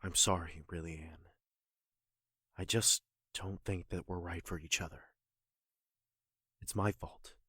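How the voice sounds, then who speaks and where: Actor as a low, husky, remorseful man. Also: fairly even intonation, bright, forceful tone, no echo, studio quality, exaggerated voice. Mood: regretful, pleading. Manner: apologetic, earnest, halting. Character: guilty, evasive man apologetically breaking with his lover. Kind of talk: audio drama acting.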